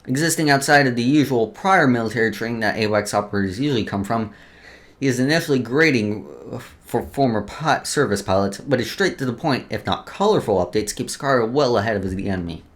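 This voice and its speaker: A man's voice: mid-range voice, lively intonation, a little fast. Man casually reading game lore, stumbling a bit. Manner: stumbles over words while reading, then restarts. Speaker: let's play host.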